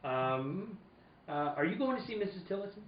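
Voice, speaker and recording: Male private eye played by an actor, wry and suspicious, halting delivery, radio detective play, roomy dull recording. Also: sits high, big pitch swings, a little hiss or hum. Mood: flustered. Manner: stammering, halting. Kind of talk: live reading of old-time radio detective drama.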